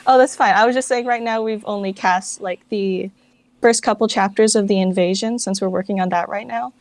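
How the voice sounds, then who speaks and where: A woman's voice: medium pitch, steady tone, a little fast, darkish tone, no echo. Casual woman guest in a dry recording. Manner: informal, filler-heavy with frequent "like". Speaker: guest, fan project director. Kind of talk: casual interview chat.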